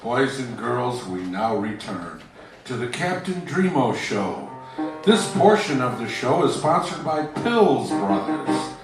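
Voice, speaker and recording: A pompous old-time radio announcer, acted by a man speaks in slow, theatrical, drawn-out phrases. The voice rings from a distance in heavy echo, with plucked guitar music behind it. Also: medium pitch, very animated. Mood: salesmanlike. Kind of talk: 1940s radio announcing parody.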